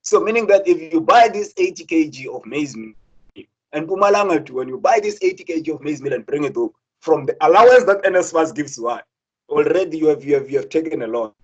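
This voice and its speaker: A man's voice: fairly high pitch, expressive, quick pace, lo-fi sound. A young South African man speaking earnestly, with many repeated words and restarts, as a student representative. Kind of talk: impassioned spoken talk.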